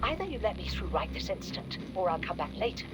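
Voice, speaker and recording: A woman voicing a tough, sharp-tongued old granny in a video game, commanding and scornful. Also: on the low side, big pitch swings, quick pace, very noisy. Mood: menacing.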